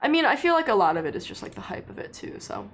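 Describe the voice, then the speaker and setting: A young woman from China, a native Mandarin speaker, chatting casually with viewers on a livestream in a relaxed, rambling way full of fillers. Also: fairly high pitch, quick pace. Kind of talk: casual live-stream chat with viewers.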